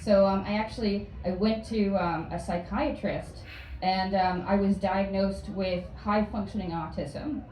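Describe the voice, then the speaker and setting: A young woman from Florida doing a hesitant, deadpan stand-up set, full of ums and likes. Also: mid-range voice, unhurried. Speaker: stand-up comedian. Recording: distant, echoey club with noise.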